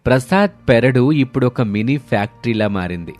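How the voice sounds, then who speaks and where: A man's voice: medium pitch, animated, normal speed, darkish tone. A man narrating a scripted moral story as a storyteller. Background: music.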